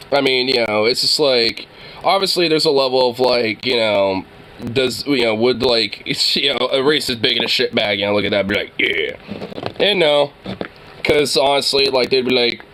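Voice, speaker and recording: A man's voice: medium pitch, fairly bright tone, poor audio. Rambling, swearing guy full of fillers. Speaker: co-host reacting to a video. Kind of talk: casual, profane banter.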